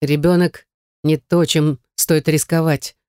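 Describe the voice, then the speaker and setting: A woman's voice: low-pitched, steady tone, normal speed, a little mellow, dry recording, clean studio sound, natural voice. A woman narrator voicing a stern, commanding man in love with a serious, muted delivery in an audiobook. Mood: stern, persuasive.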